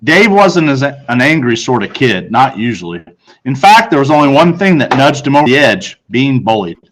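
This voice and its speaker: A man reads a children's book aloud in an average-pitched voice. He is a teacher and tells the story expressively. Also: sing-song, normal speed, fairly bright tone.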